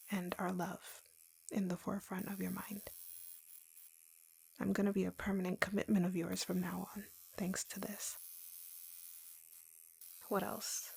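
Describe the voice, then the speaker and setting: Woman doing a yandere girlfriend roleplay, young obsessed lovesick girl, super croaky breathy near-whisper, soft and coaxing but creepy possessive. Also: lowish voice, heavily acted. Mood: tender, obsessive. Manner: gentle, coaxing, sweetly menacing.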